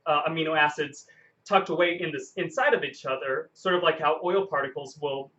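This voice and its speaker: Guy teaching science unscripted, kinda high forceful voice, lots of self-corrections, bit lo-fi. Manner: halting, self-correcting explanations. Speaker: science lecturer. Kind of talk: unscripted explanatory science lecture.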